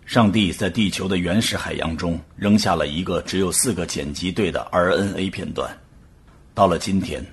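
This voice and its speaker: A man playing a grave, uneasy scientist giving a measured, halting formal speech in a radio drama. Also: low voice, breathy edge, full character voice. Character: grave, uneasy male scientist professor addressing a council.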